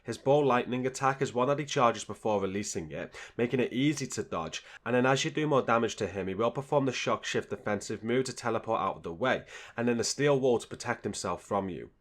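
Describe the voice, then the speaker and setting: A man's voice: medium pitch, fairly quick, few pauses. A man hosting a gaming video, reading scripted guide narration in a straightforward, instructional way.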